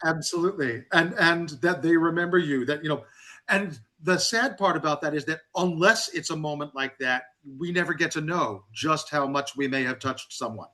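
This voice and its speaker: A man speaking as a panelist on a video call, animated and effusive, often repeating himself. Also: fairly high pitch, normal speed. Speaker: panelist tour director. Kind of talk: casual webinar chat.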